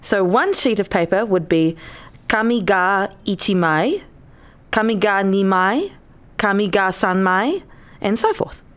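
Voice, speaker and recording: A woman's voice: medium pitch, expressive, a little slow, a bit bright. A woman playing a stern, exasperated wife and mother in an audio drama. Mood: instructive. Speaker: actress in a radio play.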